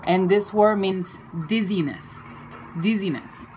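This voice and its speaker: Slow, halting woman, Chinese native. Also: medium pitch, darkish tone, soft background music, poor audio. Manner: slow, halting, playful, with fillers and self-corrections. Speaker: video creator. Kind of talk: casual explainer lesson.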